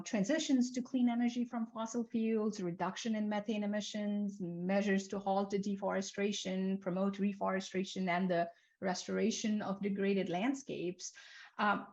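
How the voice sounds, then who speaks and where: A young woman from Nepal giving an academic seminar talk, with a measured, list-like delivery and frequent fillers. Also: medium pitch, a little mellow. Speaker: seminar speaker, climate researcher. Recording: slightly dull lo-fi lecture audio.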